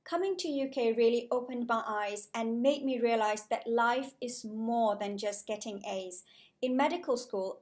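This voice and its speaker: A young woman from Malaysia speaks straight to her viewers in a vlog, her voice sitting a little high. She talks in a measured, careful way, as if working through prepared notes. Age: 20s. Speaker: vlogger, junior doctor. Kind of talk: scripted-sounding casual vlog talk to camera.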